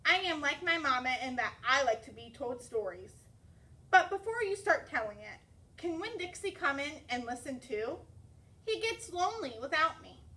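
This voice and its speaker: A woman's voice: high voice, sing-song, normal speed, bright, forceful tone, full character voice. A woman voicing an earnest, polite young girl from a children's storybook. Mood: eager. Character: earnest, polite young girl who loves her dog.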